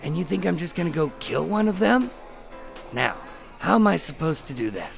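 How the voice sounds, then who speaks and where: An actor playing a wary, wry undercover FBI agent in a noir-like radio drama. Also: male voice, on the high side, very animated, normal speed, gravelly, soft background music. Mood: wary, incredulous. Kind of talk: noir-like scripted narration and radio drama acting.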